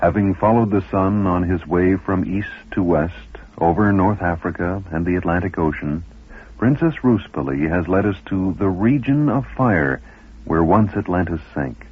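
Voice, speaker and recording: A man's voice: low voice, animated, moderate pace, breathy edge. A man reading scripted documentary narration as the narrator. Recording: phone-like, muffled old radio recording.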